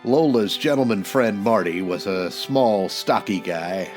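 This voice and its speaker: A man playing a wry, deadpan private eye narrating his case in slangy old-time radio detective style. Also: lowish voice, unhurried. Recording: music under the voice.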